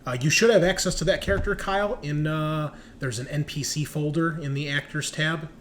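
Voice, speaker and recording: A man's voice: a little high, fairly even intonation, fairly quick, a bit bright. A man hosting a tabletop roleplaying show, chatting in a casual, informal and jokey way as he introduces things. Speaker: host and game master of a tabletop roleplaying show. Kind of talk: casual podcast introduction and banter. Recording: clearly noisy background.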